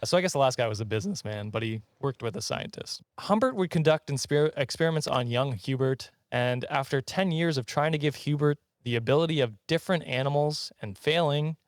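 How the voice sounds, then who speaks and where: A man's voice: on the high side, a little fast, hardly any pauses, no echo, studio quality. A man co-hosting a podcast, telling a comic story casually, tripping over his words, giggling and swearing.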